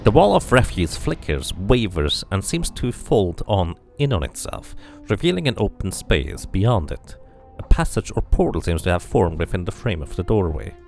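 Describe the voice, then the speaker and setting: A man's voice: fairly low pitch, gravelly, a little mellow. A man doing casual playthrough commentary, musing to himself and reading game text aloud. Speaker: video game streamer.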